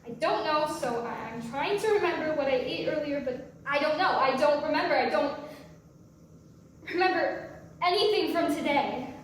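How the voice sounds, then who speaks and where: An actress playing a troubled, guilt-ridden mother who has struggled with drinking, speaking haltingly in a staged play reading. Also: high-pitched, natural voice. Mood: confused, unsure. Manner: halting, stammering delivery. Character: troubled recovering-alcoholic mother, hesitant, guilt-ridden, confused. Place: large echoey room, live staged reading. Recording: echoey hall from far off, noisy.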